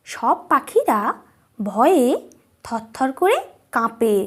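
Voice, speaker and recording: A woman's voice: fairly high pitch, very animated, takes it slowly, fairly bright tone, breathy edge. A woman narrating a scripted children's story as its storyteller.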